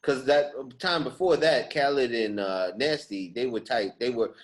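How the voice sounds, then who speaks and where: A man's voice: mid-range voice, normal speed. A man hosting a podcast in casual chat, speaking in a slangy, emphatic way. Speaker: podcast host.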